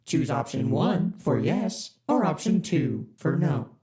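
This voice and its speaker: Actor doing a polite corporate spaceship AI, formal announcer delivery, lively up-and-down pitch, roomy echo. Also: slow pace. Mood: prompting. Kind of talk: audio drama acting.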